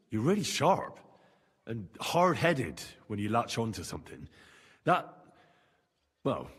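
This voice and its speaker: An actor playing an earnest young commoner adventurer in a video game, plain-spoken, polite and friendly. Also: male voice, medium pitch, slightly rough, full character voice. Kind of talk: video game dialogue acting. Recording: long reverb, faint game music behind.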